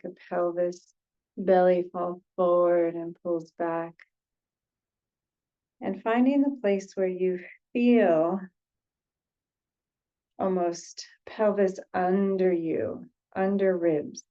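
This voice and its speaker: A woman leading an unscripted guided meditation as a teacher, gently, with pauses, repeated phrases and sentences that trail off. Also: on the low side, dull audio.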